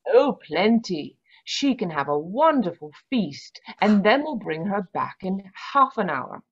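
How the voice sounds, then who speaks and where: An actress playing a kind, tactful married woman in a radio mystery, gentle and polite in manner. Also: mid-range voice, big pitch swings, measured pace, husky. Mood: kindly. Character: Mrs. Watson, kind, tactful married Englishwoman. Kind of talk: old-time radio mystery acting. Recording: dull, lo-fi video call audio.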